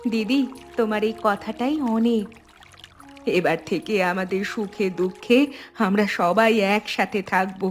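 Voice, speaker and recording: A woman's voice: mid-range voice, unhurried, close to an everyday voice. A woman playing a kindly village wife in a cartoon, speaking in an earnest, emotional way. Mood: relieved, earnest. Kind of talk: dramatic cartoon dialogue. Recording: music bed under the voice.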